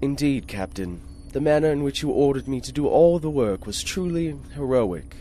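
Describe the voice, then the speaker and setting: Shipboard AI for a fifties-style radio serial male actor: mid-pitched, deadpan, politely sarcastic, faint music underneath. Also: normal speed, natural voice. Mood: dryly sarcastic. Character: passive-aggressive male shipboard artificial intelligence, dryly obedient. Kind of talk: 1950s space radio serial acting.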